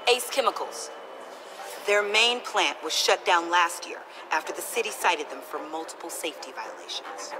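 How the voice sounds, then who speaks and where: A woman playing a sharp, self-assured tech-savvy crime-fighting ally in a video game, speaking briskly. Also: on the low side, lively intonation, noisy background, natural voice. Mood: curious. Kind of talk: video game character acting.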